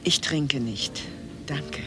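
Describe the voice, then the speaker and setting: A woman's voice: extremely low pitch, very slow, over music, exaggerated voice. An actress playing a gracious, mysterious, nostalgic older lady in a German radio drama, speaking formally. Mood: wistful.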